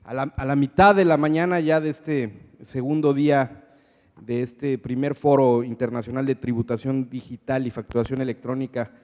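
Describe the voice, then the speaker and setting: A man with a Mexican accent moderating a conference panel in a semi-formal, hesitant and halting way, often repeating his words. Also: medium pitch, fairly even intonation, a little mellow. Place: conference hall. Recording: reverberant conference hall sound.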